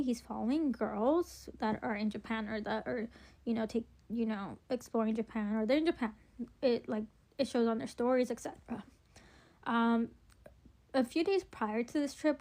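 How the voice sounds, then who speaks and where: A woman's voice: on the high side, vocal fry, darkish tone. A young woman chatting casually through a relationship storytime while doing her makeup, rambling with lots of ums and likes and a wry edge.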